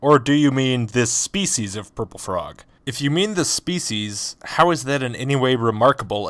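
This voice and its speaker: A skeptical, sarcastic scientist played by a man who argues point by point. His pitch moves a lot, and the sound is close and dry. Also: no echo, natural voice. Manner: argumentative, rapid-fire rebuttals.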